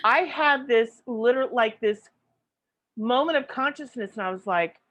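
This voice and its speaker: Giggly, animated woman in her late fifties. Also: fairly high pitch, a little slow. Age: 50s. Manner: giggly, animated storytelling with frequent laughter. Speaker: podcast co-host. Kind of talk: casual chat.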